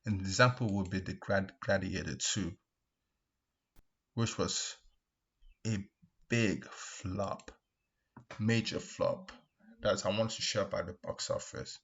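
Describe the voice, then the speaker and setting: Husky low-voiced man, repetitive and halting. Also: a bit bright. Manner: repetitive, emphatic, halting with restarts. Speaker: video host, commentator. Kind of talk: casual opinion commentary, reacting to comments. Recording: dull, lo-fi microphone sound.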